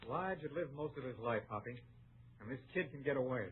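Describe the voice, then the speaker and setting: A man's voice: medium pitch, lively intonation, fairly quick, a little hiss or hum, lightly acted. An actor playing a desperate, self-justifying townsman in an old American radio western, with an earnest, dramatic delivery. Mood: resigned, defensive. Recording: muffled, narrow-band old radio recording.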